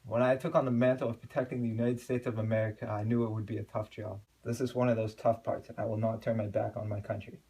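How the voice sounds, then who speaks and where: A man's voice: fairly low pitch, flat delivery, fast talker, few pauses, husky. A man playing a bold, stubborn US president in a comedic action skit, speaking in a declamatory, defiant way. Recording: distant mic, loud background noise.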